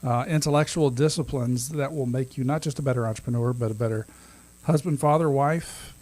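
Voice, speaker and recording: Easygoing guy in his 30s hosting a podcast, laughs a lot, trips over his words, kinda husky. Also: medium pitch, brisk, darkish tone. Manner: laughs often, rambling with restarts. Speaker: podcast co-host. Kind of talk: casual chat.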